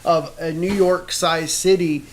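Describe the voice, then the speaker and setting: A co-host talks casually in a medium-pitched voice. The delivery is informal and emphatic, often repeating a phrase for effect. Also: steady tone, measured pace, slight background noise. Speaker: co-host of an online show. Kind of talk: casual chat.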